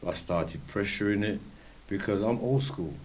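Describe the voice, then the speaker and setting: A man's voice: on the low side, moderate pace, crowd noise, rough recording. A man hosting a casual interview, asking long, rambling questions with enthusiastic asides. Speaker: radio show host.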